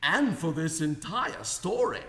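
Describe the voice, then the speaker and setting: An actor playing an excitable, bumbling, self-important old inventor in a radio play, theatrical and flustered. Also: male voice, on the high side, a little slow, heavily acted. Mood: flustered, apologetic. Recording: roomy echoing hall sound, music behind.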